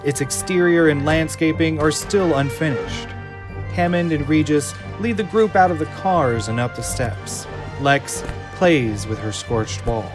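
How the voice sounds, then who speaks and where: A man's voice: on the high side, measured pace. Male audio drama narrator over music. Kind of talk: scripted narration.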